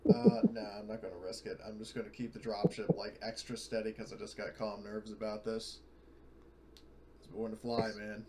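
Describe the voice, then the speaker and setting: A man's voice: on the low side, normal speed, heavy background noise. Casual man playing a tabletop game. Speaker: tabletop game player, pilot character.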